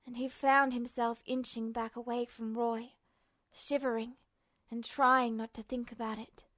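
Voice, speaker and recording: Lady w a high voice reading a story out loud, dramatic audiobook narrator. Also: flat delivery, unhurried. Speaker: fan fiction podfic reader.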